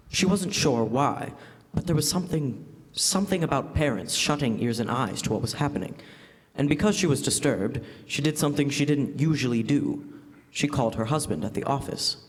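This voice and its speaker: A young man giving measured, suspenseful scripted narration in classic radio drama style. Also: medium pitch. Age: young adult. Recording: echoey stage hall.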